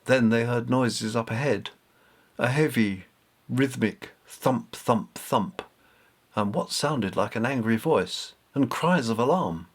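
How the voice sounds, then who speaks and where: A man's voice: mid-range voice, slow. A man reading his own story aloud as scripted narration. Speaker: author reading own novelette.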